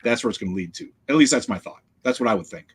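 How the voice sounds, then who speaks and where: A middle-aged man with a fairly low voice, talking in a relaxed, rambling way. He hosts a casual chat from his home collection room and often trails off with "so". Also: racing, breathy edge. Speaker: podcast host.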